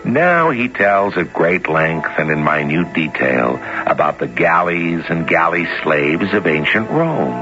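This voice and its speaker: Slow, deep-voiced theatrical radio host. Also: male voice. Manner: deliberate, dramatic, teasing rhetorical questions. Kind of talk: theatrical scripted narration, 1970s radio. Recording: dull 1970s radio recording with music.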